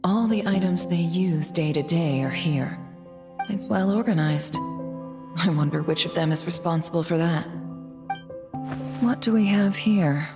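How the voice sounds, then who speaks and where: An actress playing a calm, thoughtful and gentle young woman investigator in a video game. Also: lowish voice, a little slow, pauses often, music in the background.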